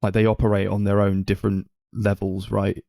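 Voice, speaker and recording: A man's voice: on the low side, completely flat delivery, fairly quick, gravelly, mellow, no room sound. A man co-hosting a film podcast, giving casual opinions on movies in conversation. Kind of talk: casual film review chat.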